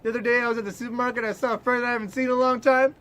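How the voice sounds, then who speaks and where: A man's voice: extremely high pitch, steady tone, very fast, a bit bright. A man trading crude, profane jokes and mock news as a comedy co-host. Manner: profane, irreverent.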